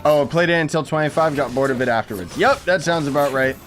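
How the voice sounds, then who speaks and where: A man's voice: on the high side, fast talker, a bit bright, faint music behind. A young man giving offhand, slangy live gameplay commentary while chatting to his viewers. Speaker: game streamer.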